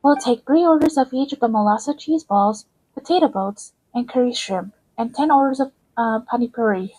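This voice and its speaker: A woman's voice: fairly high pitch, fairly quick, a little mellow, husky, rough recording. A woman doing amateur video game voice acting in a polite, earnest manner. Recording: amateur recording with music behind.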